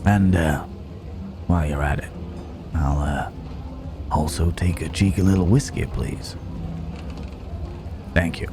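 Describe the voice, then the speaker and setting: A man playing a deep, slow-talking cowboy gentleman. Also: background noise, heavily acted. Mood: polite, cheery. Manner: unhurried, wry, musing, with drawn-out pauses. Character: roguish, genteel Wild West cowboy stranger, amiable drifter. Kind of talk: immersive ASMR roleplay, addressing the listener.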